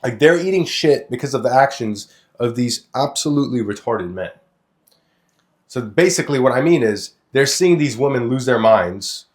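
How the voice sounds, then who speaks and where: Young male podcast co-host from Lebanon, blunt and crude, animated storyteller who swears freely, mid-range voice. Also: mid-range voice, normal speed. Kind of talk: casual opinionated podcast chat.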